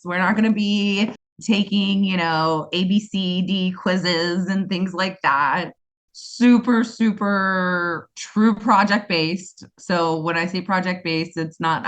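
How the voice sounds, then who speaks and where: Chatty East Coast woman, lower voice. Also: fairly bright tone, lo-fi sound. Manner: talkative, enthusiastic, rambling. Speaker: guest, school co-founder. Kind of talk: casual interview conversation.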